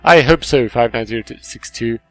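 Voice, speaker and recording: A man's voice: medium pitch, moderate pace, natural voice, low-quality recording. A man reading the part of a friendly runaway robot in a game, informal and easygoing. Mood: grateful.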